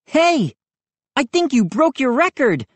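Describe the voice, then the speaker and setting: Excitable young boy hero for an audiobook, voiced by a woman, high, dramatic and lively. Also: slow, dry recording, heavily acted. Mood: excited. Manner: exclaiming, dramatic. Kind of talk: audiobook character voice.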